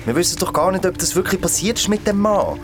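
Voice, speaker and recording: Sceptical young swiss german guy, higher voice, bouncy intonation, audio drama w music. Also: lively intonation, fast. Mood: sceptical, soothing. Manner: sceptical, down-to-earth. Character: Phil, sceptical young man calming his friends. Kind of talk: naturalistic audio drama dialogue.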